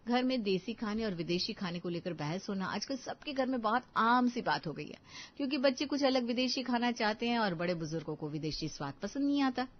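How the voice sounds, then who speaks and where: A woman tells a family moral story as a scripted narrator. Her voice sits fairly high, and the recording is a little dull and lo-fi, as in an online animated tale. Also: brisk, hardly any pauses.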